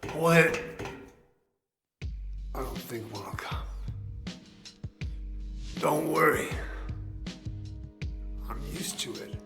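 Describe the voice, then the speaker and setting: A man playing a weary, cynical old private detective in a video game, gruff and sardonic. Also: a little high, extremely slow, long pauses, bright, forceful tone, exaggerated voice. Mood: pained, resigned. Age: older. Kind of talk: dramatic video game cutscene acting. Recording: echoey hall reverb, music behind.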